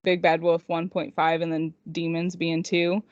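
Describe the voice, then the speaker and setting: A woman's voice: lowish voice, completely flat delivery, moderate pace, a little mellow, no echo. A woman podcast co-host chatting casually and unscripted, rambling informally with lots of "like", on slightly lo-fi audio. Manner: informal, rambling, heavy use of "like". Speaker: podcast co-host and indie author.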